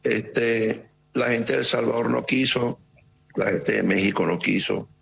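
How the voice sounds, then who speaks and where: A middle-aged man from Caracas with a Venezuelan accent, giving an earnest, halting account as an interview guest with many hesitations. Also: medium pitch, fairly even intonation, gravelly, fairly bright tone. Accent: Venezuelan Spanish. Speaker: interview guest, search volunteer.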